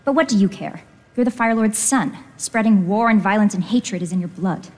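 A woman's voice: mid-range voice, moderate pace, darkish tone, natural voice. A woman playing a passionate, caring teenage girl in a live script reading. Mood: indignant. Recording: echoey hall.

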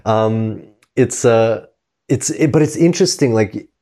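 A man's voice: mid-range voice, unhurried, mellow. A man hosting a podcast, introducing his guest with enthusiastic, filler-filled talk. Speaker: podcast host.

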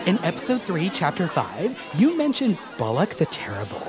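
An excited nerdy fan. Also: female voice, lowish voice, over loud music, over a noisy crowd, rough recording. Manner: eager, rapid questioning.

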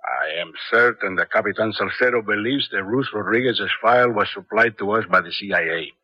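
A man's voice: lowish voice, a little fast, husky, no echo. An actor playing a dutiful military colonel who reports to his general, formal and deferential, in a 1970s radio drama. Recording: dull vintage radio broadcast.

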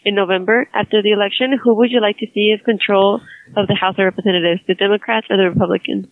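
Fast-talking woman on a tinny phone line. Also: medium pitch, steady tone. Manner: polite, reads questions off a script. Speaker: survey caller. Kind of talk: scripted phone survey.

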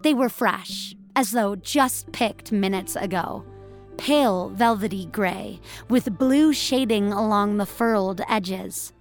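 A woman's voice: medium pitch, slow pace. A woman giving a scripted narration of an audio fiction story. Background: music.

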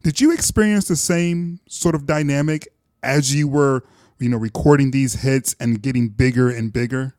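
A man's voice: medium pitch, steady tone, moderate pace, a little mellow, no room sound, clean studio sound. Playful man hosting an interview. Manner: playful and effusive, with sassy asides. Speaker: podcast host. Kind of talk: conversational interview.

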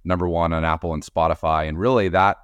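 Low-voiced man explaining business plainly. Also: fairly even intonation, fast, a bit bright, clear, resonant tone, no room sound. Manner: thoughtful, with frequent restarts and fillers. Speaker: podcast network executive. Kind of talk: interview answers.

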